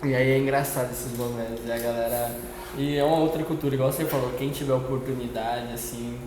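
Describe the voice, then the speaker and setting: A man's voice: medium pitch, steady tone, fast talker. A man hosting an interview, chatting in a casual, jokey, teasing way. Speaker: host. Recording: very echoey room, long reverb, noisy.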